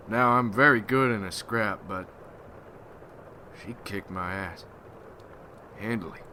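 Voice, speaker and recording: Guy doing a weary folksy vampire hunter for asmr, low flat voice, halting and somber, noisy ambience behind. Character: weary, haunted rural American Civil War veteran turned vampire hunter. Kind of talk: ASMR roleplay monologue.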